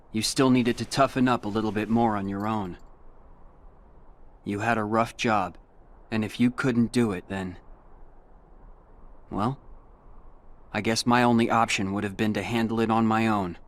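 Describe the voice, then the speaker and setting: A man's voice: lowish voice, steady tone, unhurried, long pauses, close to an everyday voice. An actor playing a reserved, brooding teenage boy hero in a video game, protective and self-blaming, in a cool, understated, serious manner. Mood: apologetic, serious.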